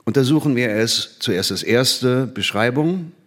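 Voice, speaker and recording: An actor playing an enthusiastic mathematics teacher, declamatory and didactic, in a theatrical drama. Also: male voice, medium pitch, expressive, measured pace, fairly bright tone. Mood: instructive. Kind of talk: theatrical scripted performance.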